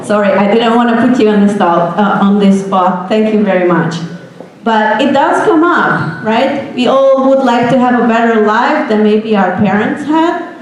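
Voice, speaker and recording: A woman's voice: fairly high pitch, moderate pace. A woman in her thirties from Hungary with a Hungarian accent, giving an earnest motivational talk to students and asking them questions. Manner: earnest, asks the audience questions. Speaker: guest speaker. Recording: echoey room recording.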